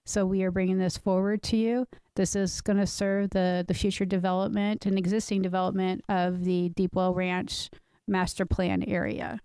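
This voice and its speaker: A woman's voice: mid-range voice, flat delivery, moderate pace, warm tone, clear, resonant tone, no echo. A woman from city staff presenting a permit request to a planning commission in a plain, matter-of-fact way. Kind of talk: staff presentation at a public meeting.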